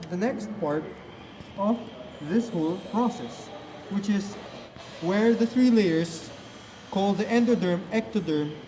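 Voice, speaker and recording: A man narrating a school puppet show from a script, reading haltingly with stumbles. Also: high voice, background noise.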